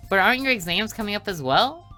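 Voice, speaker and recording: Guy putting on a cute bossy anime schoolgirl, higher bright voice, smug and chiding, music behind. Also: lively intonation, fast talker, exaggerated voice. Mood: nagging. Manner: chiding, self-satisfied. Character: cute, vain, bossy teasing younger sister, anime schoolgirl.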